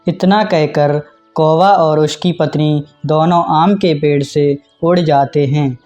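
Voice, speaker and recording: धीमी रफ़्तार वाला पुरुष कहानीवाचक. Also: male voice, a little high, fairly even intonation, takes it slowly, a little mellow, faint music behind. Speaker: narrator. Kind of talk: scripted children's story narration.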